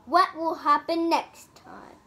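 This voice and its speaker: Child storyteller, high voice, dramatic and halting, making up a toy fairy tale at an unhurried, slow pace. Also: steady tone, sharp tone, slight background noise. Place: indoors in a room at home.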